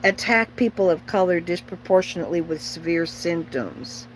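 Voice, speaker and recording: A woman's voice: on the low side, normal speed, slight background noise, low-quality recording. A middle-aged woman chatting casually on a livestream as host, rambling and opinionated, bluntly repeating herself for emphasis.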